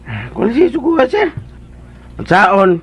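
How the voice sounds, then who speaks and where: An actor playing an excitable, deferential male servant in a radio drama, chattering in a flustered, eager-to-please way. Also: extremely high pitch, a little slow, slightly rough, exaggerated voice. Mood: cajoling, chatty. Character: Bitoy, excitable deferential male servant to a wheelchair-using boss.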